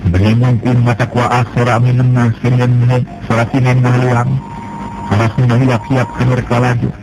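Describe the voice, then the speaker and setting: A man narrating a traditional folk tale, jumping up in pitch when he voices the characters. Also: moderate pace, noisy background. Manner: lively storytelling, jumps in pitch for characters. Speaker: storyteller.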